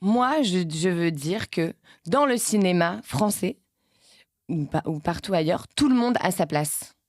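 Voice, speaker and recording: A woman's voice: on the low side, animated, unhurried, darkish tone, dry recording. Woman, late 30s, actress-producer type, loose rambling interview talk, dry sound. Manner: rambling, many restarts and fillers.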